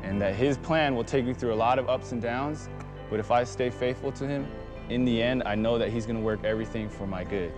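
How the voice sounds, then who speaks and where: A man's voice: mid-range voice, fairly quick. A young American man in his twenties, speaking earnestly and reflectively about his life in a documentary. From United States. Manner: earnest, reflective, plain-spoken. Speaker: basketball player. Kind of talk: documentary talk and speech. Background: music.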